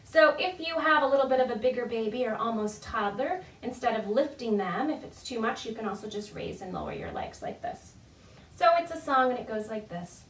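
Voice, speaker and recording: A woman's voice: a little high, lively intonation, brisk, fairly bright tone. A woman children's storytime leader giving friendly, encouraging instructions to parents and babies in a sing-song, demonstrative way. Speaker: children's librarian leading a baby storytime. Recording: roomy echo, distant mic.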